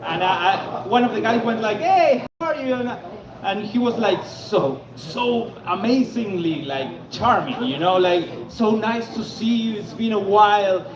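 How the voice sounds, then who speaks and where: A man from Argentina doing a stand-up comedy set on a club stage, stammering into deadpan punchlines. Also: sits high, a little slow, a crowd in the background. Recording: echoey club, lo-fi, noisy.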